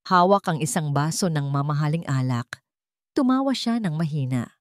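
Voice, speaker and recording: Low-voiced woman telling a dramatic story. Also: animated, moderate pace, no room sound, clean studio sound. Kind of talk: dramatic scripted story narration.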